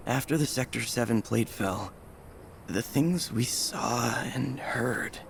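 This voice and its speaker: Earnest, sober rebel volunteer. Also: male voice, medium pitch, slow pace, creaky, a little hiss or hum. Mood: somber, resolute. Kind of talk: dramatic video game narration. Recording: music behind the voice.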